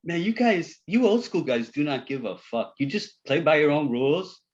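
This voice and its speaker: A male actor plays an eager young hipster in a comedy read, friendly and full of slang. The pitch swings widely as he gushes. The recording is a bit dull and lo-fi. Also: very animated, moderate pace, slight background noise. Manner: slangy, gushing. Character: Tommy, eager hip young man, gushing and friendly. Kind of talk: table read of a comedy script.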